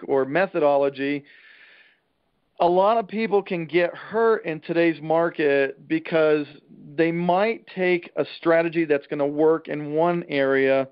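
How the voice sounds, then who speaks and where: A man giving an informal real estate trainer's talk over a conference call, plain-spoken and emphatic, with a salesman's folksy pitch. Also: clean tone. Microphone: telephone conference call. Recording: dull, band-limited conference call line.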